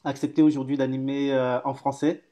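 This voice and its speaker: A man's voice: medium pitch, level tone, fairly quick, dark tone. A man in his thirties hosting a live video call, cheerful and hesitant, stumbling over repeated words and fillers. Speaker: host. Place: home office room.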